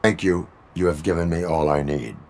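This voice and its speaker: An actor playing a stoic cyborg police officer, dutiful and literal, with deadpan, clipped, formal delivery. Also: male voice, low voice, normal speed, vocal fry. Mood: flat, businesslike. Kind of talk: video game dialogue acting.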